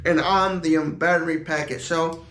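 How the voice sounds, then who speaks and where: A man giving a casual product demonstration in a halting, repetitive way, explaining as he goes. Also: fairly high pitch, fairly even intonation, fairly bright tone. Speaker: product reviewer. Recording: echoey room.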